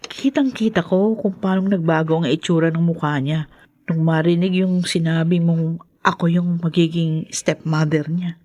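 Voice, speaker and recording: An actress playing an anxious, considerate fiancée in a radio drama, worried and urging, with a Filipino accent. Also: female voice, lowish voice, fairly even intonation, moderate pace, mellow, no echo, dull audio, lightly acted.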